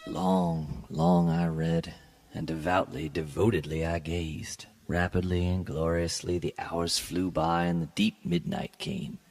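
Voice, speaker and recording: A man giving a dramatic, scripted reading of classic literature as a podcast host, with a gravelly, husky voice and a measured, formal, theatrical delivery. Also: low-pitched, level tone, a little mellow. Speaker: podcast host and story reader.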